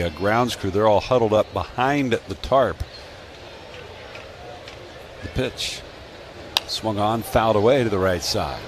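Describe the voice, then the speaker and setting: A man with an American accent calls baseball live on the radio, mixing play-by-play with casual banter. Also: on the low side. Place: stadium broadcast booth open to the field. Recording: clear outdoor ballpark ambience noise.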